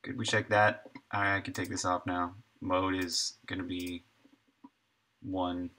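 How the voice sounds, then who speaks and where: A man's voice: deep voice, level tone, slow pace, bright, forceful tone. A middle-aged man thinking aloud in short, laid-back fragments as he live-streams his coding work. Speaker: game developer and live streamer. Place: indoor room at a desk, streaming while coding.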